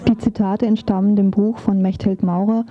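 A woman's voice: medium pitch, level tone, moderate pace, soft background music. A woman reading a scripted radio feature as a presenter, on a muffled, narrow recording.

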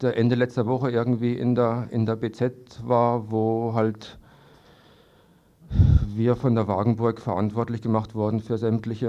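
A man answers hesitantly in a spontaneous radio interview, haltingly and often repeating words. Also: fairly low pitch, mellow. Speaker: interviewed evicted trailer-camp resident. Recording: dull lo-fi archival radio recording.

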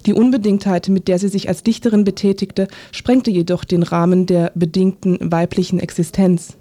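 A woman narrating a scripted literary radio feature in a sober, measured manner. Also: medium pitch, warm tone.

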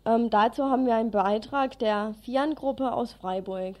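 Female community radio news presenter, slightly high voice, part scripted and part off the cuff. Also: normal speed.

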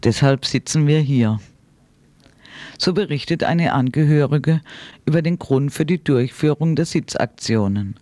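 A man's voice: fairly high pitch, a little slow, darkish tone, no room sound. A man reads a scripted radio report in a sober, formal manner. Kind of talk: scripted news narration.

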